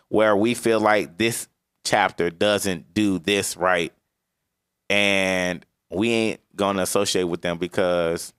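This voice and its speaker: A man's voice: on the low side, smooth, no room sound, studio quality. A man co-hosting a podcast, emphatic and profane, often restarting and stacking words as he cuts in. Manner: animated, emphatic, profane, repeats words when cutting in. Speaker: podcast co-host. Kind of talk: casual podcast discussion.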